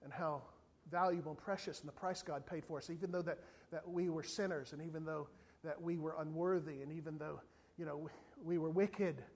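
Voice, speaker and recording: A man's voice: a little high, fairly quick. A man preaching a sermon as an evangelist, telling a funny story and briefly acting out the people in it. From not from South Africa. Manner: animated storytelling, acts out other people's voices.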